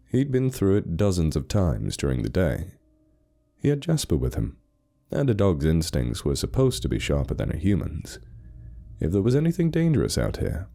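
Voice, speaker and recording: Very deep-voiced male horror narrator. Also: creaky, mellow. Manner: measured, suspenseful storytelling. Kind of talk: scripted narration of a spooky tale.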